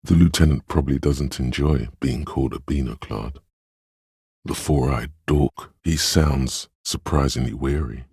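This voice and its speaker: An extremely deep, husky inner voice narrates a video game with grave compassion. The delivery is measured, solemn and knowing, recorded close and dry. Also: male voice, a little mellow, no room sound, exaggerated voice. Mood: somber, knowing.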